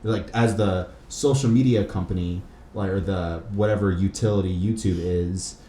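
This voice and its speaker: A man's voice: deep voice, steady tone, normal speed, dark tone. A man hosting a podcast in a casual, informal way, rambling and questioning as he talks. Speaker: podcast host. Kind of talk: casual conversational interview talk. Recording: roomy echo with faint background noise.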